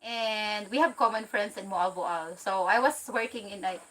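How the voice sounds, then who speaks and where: A woman from the Philippines hosting a casual livestream, chatty and excitable, reading out viewer comments and laughing easily. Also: medium pitch, normal speed, rough recording. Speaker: livestream host.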